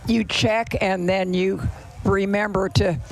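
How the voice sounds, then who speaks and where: Slow, creaky woman in her nineties. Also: medium pitch, steady tone, soft background music. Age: 90s. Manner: halting, brief answers. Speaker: host's mother, podcast guest. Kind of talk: casual chat.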